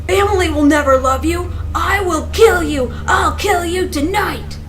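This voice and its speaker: A woman voicing a hateful, raging man inside someone's head, loud, venomous and accusing, in a dramatic story reading. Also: very high voice, a little slow, crowd noise, voice pushed up. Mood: screaming hatred.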